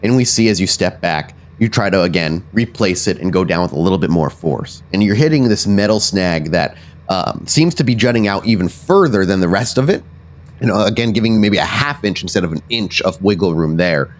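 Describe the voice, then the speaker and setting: A man's voice: deep voice, animated, brisk. A man acting as game master, improvising descriptive narration for a tabletop game in a conversational way.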